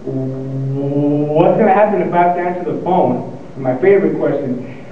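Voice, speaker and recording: Student speaker, young man, stuttering delivery, slightly high voice, heard from far off in a reverberant hall. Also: a little hiss or hum. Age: young adult. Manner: stuttering, pausing and repeating words. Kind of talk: motivational speech. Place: lecture hall. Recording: echoey hall, tinny and far off.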